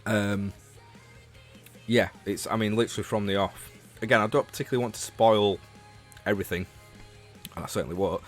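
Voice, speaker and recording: Low-pitched Northern English man, chatting casually. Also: fairly even intonation, fairly quick, faint music behind. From Leeds, England. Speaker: livestreamer and show host. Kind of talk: casual livestream chat about games, replying to viewers.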